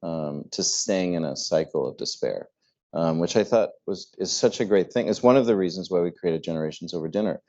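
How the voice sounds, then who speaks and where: Low-voiced guy moderating a panel, thoughtful, stumbles a bit, muffled lo-fi audio. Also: brisk, warm tone. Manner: thoughtful, with stammered restarts.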